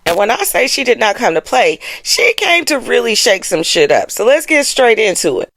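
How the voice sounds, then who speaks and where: Lively woman with big pitch swings. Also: moderate pace, slight background noise. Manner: sassy, slangy, playful. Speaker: host of an astrology show. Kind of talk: casual chatty explainer to camera.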